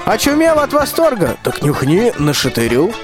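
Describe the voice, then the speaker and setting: A man's voice: high voice, huge pitch swings, moderate pace, music in the background. A male amateur actor playing a bossy, lovestruck tsar in a rhymed folk-tale radio play, declaiming pompously. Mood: pompous wooing. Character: blustering lovestruck old tsar, bossy and wheedling.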